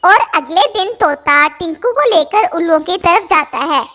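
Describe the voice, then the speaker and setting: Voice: very high-pitched, expressive, moderate pace, sharp tone. A narrator reading a scripted moral story for children.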